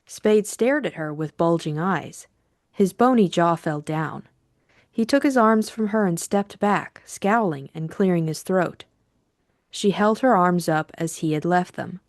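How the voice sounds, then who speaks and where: Casting: female audiobook narrator, lowish pitch, measured scripted delivery, dry studio-like sound. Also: expressive, no room sound.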